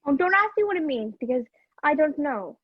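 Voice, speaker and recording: A woman acting a feisty, sharp-tongued young woman who reluctantly helps a fugitive, in a radio play over a video call. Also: sits high, very animated, a little fast, no room sound. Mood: hesitant, confiding. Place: video call, dry room. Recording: muffled video-call audio.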